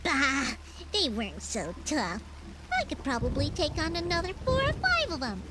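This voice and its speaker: A woman's voice: high-pitched, very animated, moderate pace, heavy background noise. A woman voicing a plucky, boastful little flying fox-like female sidekick in a video game, perky and cheeky. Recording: music and rain behind the voice.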